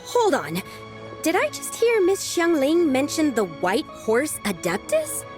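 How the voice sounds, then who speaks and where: High-voiced, timid young lady. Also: wildly swooping pitch, slow, soft background music, exaggerated voice. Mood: polite, curious. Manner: earnest, polite, flustered. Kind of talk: animated video game voice acting.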